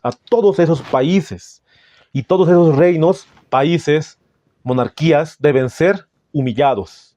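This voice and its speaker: A man with a Bolivian Spanish accent preaching in a solemn, didactic way, reading out passages with deliberate phrasing. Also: medium pitch, warm tone, no echo. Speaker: Adventist preacher. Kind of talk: sermon with scripture and book readings.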